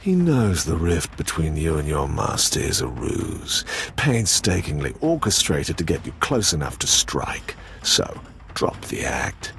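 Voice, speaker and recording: A deep-voiced Sith villain from a video game, slow and very dynamic in pitch. He taunts and sneers with open menace. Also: very animated, vocal fry, fairly bright tone, a little hiss or hum, full character voice. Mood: taunting. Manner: taunting, deliberate.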